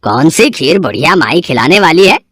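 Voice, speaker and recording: Scoffing village gossip, cartoon storybook woman performer: exaggerated, very lively pitch, dry sound. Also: normal speed, husky, no echo, full character voice. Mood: mocking. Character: mocking, nosy villager.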